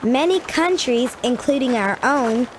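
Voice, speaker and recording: A woman's voice: sits high, expressive, unhurried, slight background noise, low-quality recording. A woman playing a bookish, precise know-it-all girl in a cartoon game, pedantic and matter-of-fact. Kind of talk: cartoon adventure game acting.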